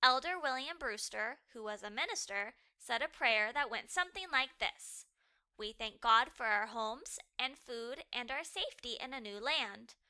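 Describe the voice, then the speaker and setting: A woman's voice: a little high, lively intonation, moderate pace, sharp tone, clean tone, full character voice. A woman voicing an earnest, helpful little boy in a storybook, explaining things thoughtfully. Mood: solemn.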